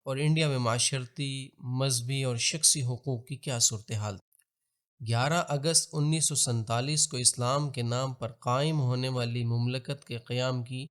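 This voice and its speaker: This is a male documentary narrator with a Pakistani accent and a mid-pitched voice. He reads from a script in a formal, measured way. His delivery is expository, suited to historical narration. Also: steady tone, fairly bright tone.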